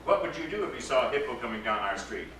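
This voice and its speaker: A man voicing mischievous, excitable young boys in a live story reading, eager and boastful. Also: mid-range voice, fast, pitched below the performer's own voice. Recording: echoey distant live recording with background noise.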